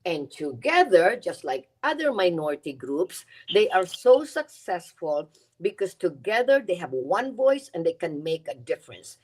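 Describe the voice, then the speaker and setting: A middle-aged woman with a Filipino accent talks in a low, deep register. She speaks earnestly as an interview guest. She often repeats words while she builds toward her point. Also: big pitch swings, normal speed, darkish tone, breathy edge, poor audio. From Philippines. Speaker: guest, nonprofit CEO. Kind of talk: podcast interview.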